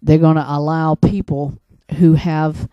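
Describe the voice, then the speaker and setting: An American man delivering a religious and political commentary monologue in an earnest, admonishing, halting way, often repeating his phrases. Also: on the high side, flat delivery, clean tone, no echo, highs cut off. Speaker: broadcaster.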